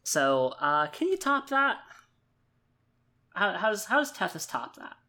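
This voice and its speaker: A man's voice: high voice, sing-song, takes it slowly, bright, forceful tone. A man improvising narration for his character in a tabletop roleplaying game, hesitant and loosely worded as he thinks aloud.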